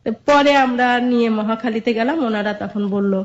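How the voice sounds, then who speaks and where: A Bangladeshi woman tells her personal story to an interviewer. Her voice sits on the high side, and she narrates event by event, often quoting dialogue. The sound is a little muffled and lo-fi. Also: moderate pace. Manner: recounts events with quoted dialogue. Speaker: guest telling own life story. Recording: dull lo-fi sound.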